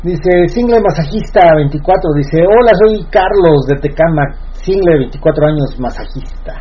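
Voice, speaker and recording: An animated man co-hosts a radio show. His voice is high for a man and swings widely in pitch. The sound is a little dull, with faint noise. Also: normal speed, husky. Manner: animated, joking. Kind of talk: casual radio chat.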